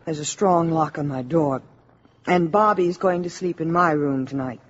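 An actress with an American accent playing a sensible, protective young mother in a 1950s radio drama, gently skeptical. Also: low voice, moderate pace, a little hiss or hum, close to an everyday voice. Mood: concerned. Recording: muffled old radio recording, busy background.

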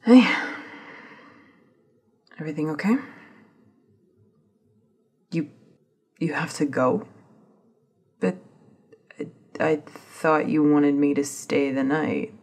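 A woman playing a hesitant, gentle young woman on a date in an intimate ASMR audio roleplay, soft-spoken and stammering. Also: low-pitched, takes it slowly, long pauses, full character voice. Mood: concerned, hurt.

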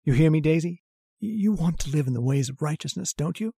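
A man's voice: a little high, lively intonation, a little fast, gravelly, husky, no room sound, clean studio sound, heavily acted. A man playing a mad, pious murderer who preaches scripture with menace in an old-time radio horror drama. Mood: soft menace. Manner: preachy, hushed and menacing, swelling to ranting. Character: mad murderous male lodger, pious, scripture-quoting zealot.

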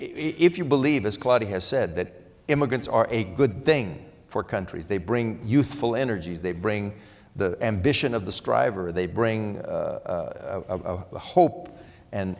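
A man's voice: on the low side, vocal fry, darkish tone. A man moderating a conference panel, speaking emphatically with stammering restarts. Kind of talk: panel discussion. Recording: long hall reverb.

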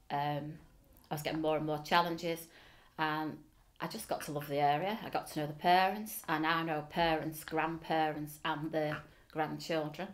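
A middle-aged woman with a Liverpool accent, speaking earnestly in an interview in a school office, with frequent ums. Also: sits low. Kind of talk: oral history interview. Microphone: seated facing camera.

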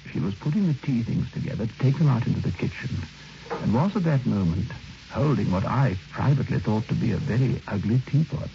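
An actor playing a brooding man who narrates a dark radio drama in grave, literary, measured phrases. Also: medium pitch, expressive, creaky, a little hiss or hum. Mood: reflective. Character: brooding male first-person narrator of a dark tale. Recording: dull old radio recording with music.

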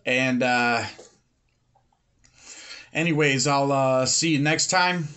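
A man in his thirties casually reviewing a beer at home, relaxed and filling pauses with "um" and "uh", recorded on a webcam or phone at his desk. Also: mid-range voice, clear, resonant tone. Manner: relaxed, many fillers and pauses. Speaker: beer reviewer, channel host. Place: home room with bookshelves, indoors.

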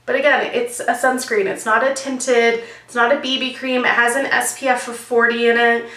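A woman's voice: a little high, flat delivery, normal speed, fairly bright tone. Froggy-voiced woman vlogging. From Canada. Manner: chatty and jokey, demonstrating while talking. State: hoarse, froggy voice. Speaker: beauty vlogger. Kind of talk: casual product review. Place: small bright bedroom, indoors. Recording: roomy, slightly distant camera sound.

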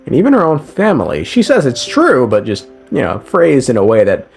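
A young man recaps a game story in a casual but scripted way. His mid-pitched voice swings up and down in a lively fashion, with dry, irreverent, slangy asides. Faint guitar music plays underneath. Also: brisk, vocal fry. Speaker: game lore video narrator.

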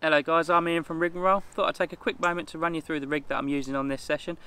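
A man's voice: fairly high pitch, fairly even intonation, very fast, bright, forceful tone, smooth. A man in his thirties outdoors, giving a friendly, easygoing run-through of his fishing rig. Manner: friendly, easygoing, with ums and uhs. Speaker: angler, team member demonstrating a rig. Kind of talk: casual product demonstration. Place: outdoors by a hedge.

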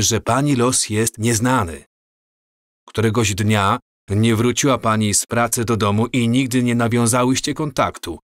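A man playing an earnest time traveler in an audio drama, speaking gravely and in a measured, explanatory way. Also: mid-range voice, no room sound, clean studio sound, close to an everyday voice. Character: earnest married time traveler warning of coming catastrophe.

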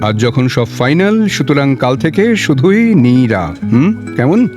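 A man's voice: medium pitch, sing-song, slow. An actor playing a chatty, polite young man in love in an audio drama. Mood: playful, teasing. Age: young adult. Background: music.

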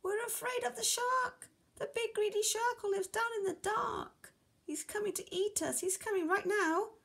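A woman's voice: high voice, very animated, extremely slow, creaky, sharp tone, breathy edge, voice pushed up, exaggerated voice. A woman voicing frightened little fishes in a children's story, flustered and crying out. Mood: panicked pleading. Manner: panicky, crying out.

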